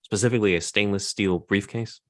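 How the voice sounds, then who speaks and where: A man's voice: fairly low pitch, steady tone, a little fast, a bit bright, close to an everyday voice. A man at a table read, playing a polite, helpful man in dialogue read from a script. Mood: polite, casual. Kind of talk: reading script dialogue aloud.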